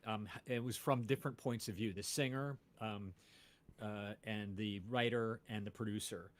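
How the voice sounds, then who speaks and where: A man's voice: on the low side, fairly even intonation, moderate pace. A man, a podcast host, chatting casually about music with many fillers and restarts.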